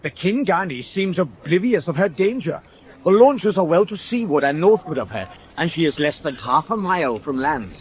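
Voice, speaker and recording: A man's voice: fairly high pitch, sing-song, moderate pace, a little hiss or hum. A man playing an alert eyewitness narrator reporting action in a scripted radio drama. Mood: tense, watchful. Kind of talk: scripted battle commentary in a drama.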